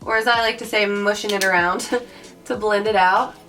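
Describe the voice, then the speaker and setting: A young woman with a raspy voice from recent illness, chatting bubbly through a makeup tutorial and calling her viewers guys. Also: medium pitch, fairly even intonation, moderate pace. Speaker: beauty vlogger. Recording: mic at a distance, soft background music.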